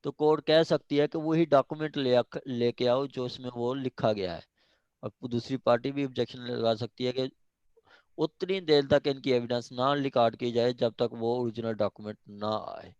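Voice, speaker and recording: A man with a Pakistani accent giving an informal law lecture, explaining step by step and reading formal passages haltingly. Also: medium pitch, fairly even intonation, darkish tone, smooth, no echo, lo-fi sound. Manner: switches between reading formal English haltingly and explaining conversationally. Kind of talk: informal lecture explaining a legal text clause by clause.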